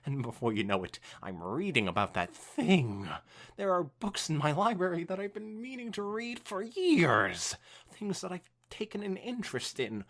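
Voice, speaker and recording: An earnest, bookish cartoon mare, played by a woman, speaks with wildly varied pitch. She is apologetic and emphatic, explaining herself at length as in a dramatic story reading. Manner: emphatic, defensive, wordy explaining. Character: earnest, apologetic bookish female pony, easily flustered.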